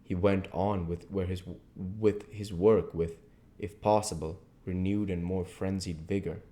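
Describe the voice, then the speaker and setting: A man with a low-pitched voice reads a classic novel aloud. His delivery is measured and earnest, like an amateur audiobook narrator working carefully through formal prose. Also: steady tone, mellow. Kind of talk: literary narration read aloud.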